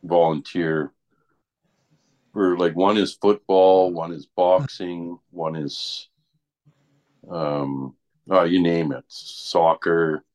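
Older man, deep voice, casual halting chat. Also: steady tone, darkish tone. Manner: halting, often ends phrases with "right?".

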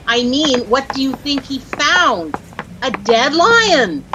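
An actress with an American accent playing a chirpy, easily dazzled young housewife who gushes and lightly scolds in a 1940s radio comedy. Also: female voice, sits high, sing-song, slow, exaggerated voice. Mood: gushing, admiring. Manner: gushing, breathlessly admiring, lightly scolding. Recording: noisy old-time radio recording.

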